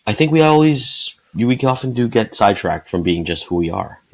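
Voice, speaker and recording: A man in his forties who is the guest in an interview, answering in a thoughtful, halting way. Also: on the low side, sing-song. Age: 40s. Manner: thoughtful, halting, many fillers and restarts. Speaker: guest, sales leader.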